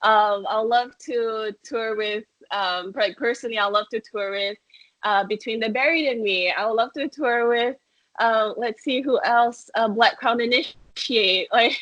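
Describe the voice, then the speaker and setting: A woman's voice: a little high, unhurried, fairly bright tone, no echo. A young woman from Malaysia, a metal band singer, answering interview questions in a chatty way full of fillers and restarts. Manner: chatty, many fillers and restarts, laughs often. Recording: dull lo-fi sound, dry.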